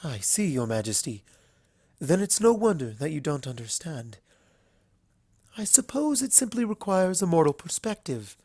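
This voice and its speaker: A woman's voice: deep voice, very animated, moderate pace, mellow, slightly rough, dry recording, exaggerated voice. A woman voicing a refined female unicorn pony in a storybook reading, earnest and solemn, speaking in a formal, eloquent, emotive way.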